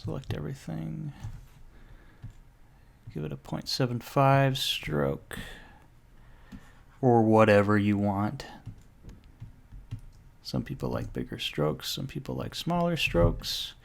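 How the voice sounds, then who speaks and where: A man casually talking himself through a software tutorial, rambling and joking, with the occasional curse. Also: medium pitch, sing-song, takes it slowly, gravelly, long pauses, slight background noise. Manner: rambling, jokey self-talk with mild swearing. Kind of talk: casual screen-recorded tutorial.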